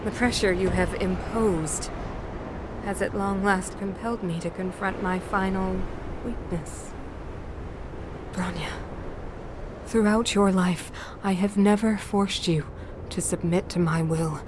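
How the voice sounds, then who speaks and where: A woman's voice: mid-range voice, fairly even intonation, background noise, lightly acted. A woman voicing a regal, obsessed ruler and mother turned villain in a video game, grandiose and theatrical. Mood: solemn, brooding. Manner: slow, grandiose, theatrical, breathy pauses. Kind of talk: dramatic video game acting. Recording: loud music under the voice. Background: dramatic game music.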